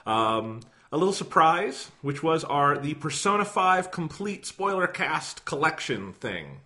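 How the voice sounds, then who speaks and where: A slightly hoarse man co-hosting a casual podcast, rambling and self-deprecating, with lots of fillers. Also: fairly high pitch, sing-song, measured pace, bright, forceful tone. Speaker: podcast co-host.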